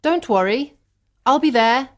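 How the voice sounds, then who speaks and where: A woman's voice: high voice, animated, a little slow. A woman playing a romantic, sensitive young Irish woman, earnest and easily hurt, in acted audiobook dialogue. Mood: happy, loving.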